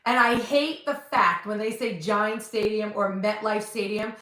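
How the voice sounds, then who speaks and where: A chatty woman with a New Jersey accent rambles on in a casual, blunt way. Her pitch sits in a middle range. The room around her is echoey. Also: a little slow, sharp tone, recorded from a distance. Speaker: podcast co-host. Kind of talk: casual chat.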